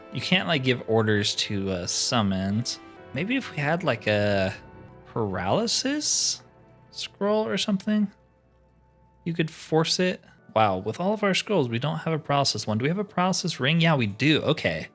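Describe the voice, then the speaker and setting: Male gamer, casual and musing, lively intonation, talking himself through play over music. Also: mid-range voice. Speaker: gamer narrating a role-play playthrough. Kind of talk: casual gameplay commentary, thinking aloud.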